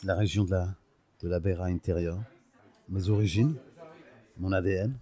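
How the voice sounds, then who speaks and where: Older man, low voice, unhurried storytelling. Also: steady tone, dark tone. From Portugal. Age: 60s. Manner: thoughtful, searching for words, many restarts and hesitations; fond, proud of his home region. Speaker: guest, olive oil producer. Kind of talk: podcast interview, personal storytelling.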